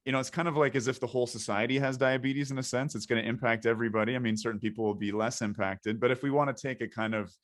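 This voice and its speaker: A man's voice: mid-range voice, fairly even intonation, fast talker, few pauses, dry recording, clean studio sound. A man hosting a podcast interview, talking at length in a rambling, thinking-aloud way. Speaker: podcast host.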